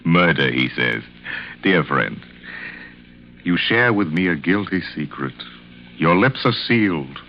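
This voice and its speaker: An actor playing a condescending, gloating theatrical murderer with a grandiloquent, mocking delivery, in 1940s radio drama style. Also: male voice, sits low, sing-song, takes it slowly, creaky, long pauses, heavily acted. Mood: smug, mocking. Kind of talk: 1940s radio suspense acting. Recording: dull, noisy vintage radio recording.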